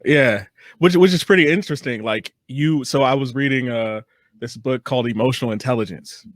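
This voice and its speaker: A man's voice: medium pitch, a little fast, dry recording, clean studio sound. A young adult man hosting a casual interview, affirming and reflective, feeling his way into questions. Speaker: podcast host, interviewer.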